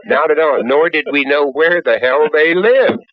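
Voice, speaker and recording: An older man, an actor, telling an informal anecdote in an animated, amused way. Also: a little high, normal speed. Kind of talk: informal storytelling. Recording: dull, dry archive recording.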